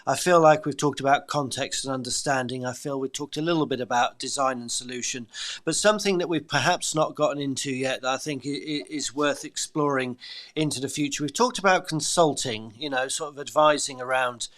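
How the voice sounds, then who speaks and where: A man's voice: mid-range voice, a little fast, fairly bright tone. Reflective male podcast host. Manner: reflective, affirming, hesitant fillers. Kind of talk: podcast interview.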